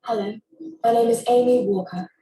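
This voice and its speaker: Actress in her 20s, quick accent showcase, slightly high voice, roomy and a bit far from the mic. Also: steady tone, warm tone, slight background noise. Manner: switches between many English accents, playful. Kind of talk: rapid accent demonstration clip. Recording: roomy sound from a distance.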